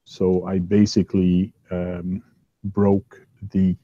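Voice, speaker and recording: A man with a big, low voice rambles casually as he hosts from his workbench at home, filling gaps with ums and uhs. The sound is dry and a little dull, with no room echo. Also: completely flat delivery, takes it slowly, warm tone. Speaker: host. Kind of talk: casual chat while working at a hobby bench.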